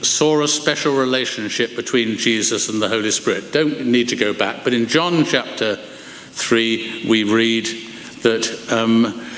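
Older preacher in an echoey church. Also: male voice, mid-range voice, slight background noise. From England. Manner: expressive, gestures broadly, slows for emphasis. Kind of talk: sermon. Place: large wooden church, indoors. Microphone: lectern microphone. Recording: echoey church hall, long reverb.

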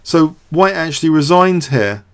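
A man with a somewhat high-pitched voice hosts an analytical commentary. He works things out aloud without a script, hesitating and repeating words as he goes. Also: animated, moderate pace. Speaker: host of a chess show. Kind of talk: off-the-cuff game commentary and analysis.

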